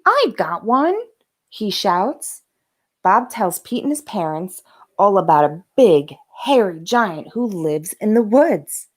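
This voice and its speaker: A woman narrating a children's bedtime storybook with gentle, dramatic emphasis for young listeners. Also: medium pitch, expressive, slow pace. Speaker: host of a bedtime story read-aloud channel.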